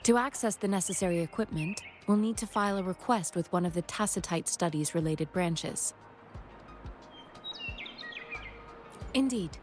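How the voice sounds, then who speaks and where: A woman voicing a calm, analytical young scientist and doctor in a video game, speaking in a measured, clinical way. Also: fairly low pitch, soft background music, natural voice. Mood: composed. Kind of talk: English video game voice acting.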